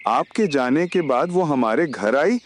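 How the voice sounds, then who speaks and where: Old male crow for a kids' cartoon, played by a man: elderly, kindly, admonishing, lively pitch. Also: unhurried. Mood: aggrieved. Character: old male crow uncle, gentle but scolding. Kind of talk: Hindi children's cartoon dub.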